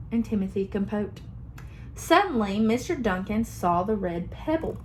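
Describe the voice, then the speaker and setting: A young woman teacher reading a picture book aloud to her class in a slow, expressive storytelling manner. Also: a little high, a little hiss or hum.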